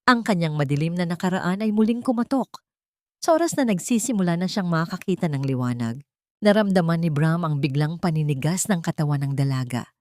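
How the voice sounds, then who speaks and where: A woman's voice: lowish voice, lively intonation, brisk, no echo, clean studio sound. A woman reading scripted dramatic story narration in a solemn manner.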